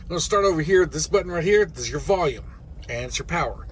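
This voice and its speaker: A man's voice: a little high, animated, quick pace, a little hiss or hum. A man giving a casual, folksy, hands-on explanation in a rambling way, like a trucker teaching others. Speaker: host, truck driver. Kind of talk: casual instructional video, explaining radio controls.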